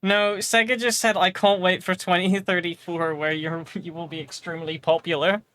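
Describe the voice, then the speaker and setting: A man's voice: sits high, level tone, moderate pace, a bit bright, no echo. A man in his twenties streaming a game, chatting and teasing with a laugh. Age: 20s. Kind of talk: casual gaming chat.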